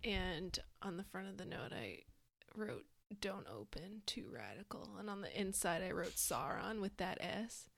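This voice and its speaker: A woman co-hosting a podcast, telling a personal anecdote in an animated, casual way. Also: medium pitch, a little slow, vocal fry. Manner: animated storyteller.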